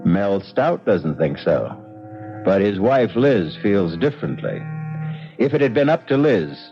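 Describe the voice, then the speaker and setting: A man's voice: fairly low pitch, sing-song, a little slow. A man hosting and narrating a radio mystery show in a dramatic, ominous manner. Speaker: host and narrator. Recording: dull lo-fi radio broadcast with music.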